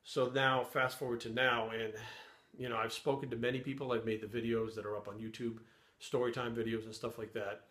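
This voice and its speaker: A man's voice: medium pitch, little pitch movement, brisk, a bit bright. Earnest middle-aged man, echoey room. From Rhode Island, USA. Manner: earnest, rambling, restarts phrases. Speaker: fitness YouTuber. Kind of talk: earnest personal to-camera talk.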